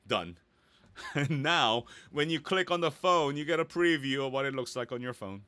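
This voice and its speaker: A man's voice: medium pitch, lively intonation, normal speed, bright, forceful tone, smooth, dry recording. A man giving a casual, playful tutorial, thinking aloud as he goes and often checking in with the listener. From Korea or United States. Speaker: voice actor giving a website tutorial.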